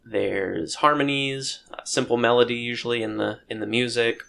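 Low gravelly man, thoughtful and halting. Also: steady tone, sharp tone. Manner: thoughtful, hesitant, many ums and restarts. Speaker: podcast co-host. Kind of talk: casual chat.

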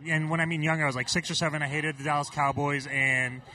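Excitable male co-host from Puerto Rico, bright tone and slightly raised pitch, trips over his words. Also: fast talker, low-quality recording. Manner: excitable, stammering restarts. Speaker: podcast co-host. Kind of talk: casual chat.

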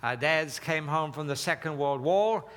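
A man's voice: on the high side, moderate pace, a bit bright. A man in his seventies, born in South Africa, preaching a conversational sermon with fillers and some slips. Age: 70s. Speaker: pastor.

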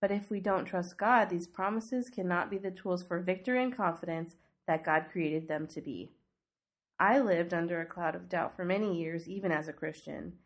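A congested woman with a snuffly nose and a fairly low voice, teaching on her devotional podcast. She talks earnestly and conversationally, asking her listeners questions as she goes. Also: normal speed. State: congested from a cold. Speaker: podcast host.

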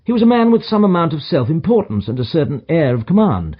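A man's voice: a little high, very animated, quick pace, breathy edge, dull audio, voice pushed up. A man voicing a self-assured, superior detective in an audiobook, with emphatic, clipped pronouncements and dry condescension. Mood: smug, explanatory. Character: brisk, self-assured detective, languid yet energetic and superior. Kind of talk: audiobook character dialogue.

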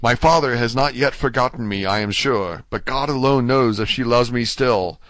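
A man's voice: medium pitch, normal speed, fairly bright tone, dry recording, natural voice. A man voicing a desperate, ardent young male prisoner in a storybook, pleading fervently. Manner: impassioned, fervent, exclamatory.

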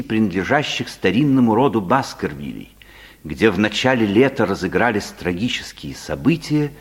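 An actor playing an earnest gentleman who tells his mysterious adventure in a radio play, in measured, literary style. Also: fairly low pitch, husky. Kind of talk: dramatic storytelling in a radio play.

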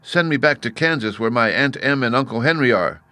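A man's voice: medium pitch, expressive, brisk, sharp tone, dry recording, voice pushed up. A man voicing a small, earnest, helpless little girl in a storybook, with a plaintive, simple delivery. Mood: earnest pleading. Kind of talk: storybook character voice.

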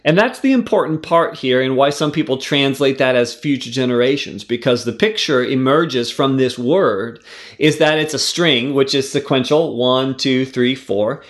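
A man giving a devotional Bible teaching, earnest and conversational, with fillers and restarts, slowing down when he reads verses aloud. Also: mid-range voice. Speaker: preacher teaching scripture.

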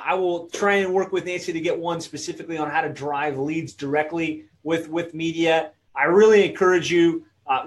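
A man hosting a live webinar who reads out viewers' comments and wraps up the session in a friendly way. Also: on the high side. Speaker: webinar host.

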